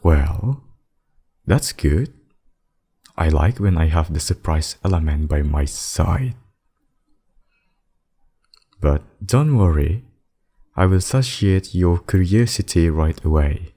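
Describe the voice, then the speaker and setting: Male actor as demon king, very deep, dark mellow tone, smug, possessive, courtly, theatrical menace, ASMR roleplay. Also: lively intonation, takes it slowly, pauses often, slightly rough, exaggerated voice. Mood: smug, menacing.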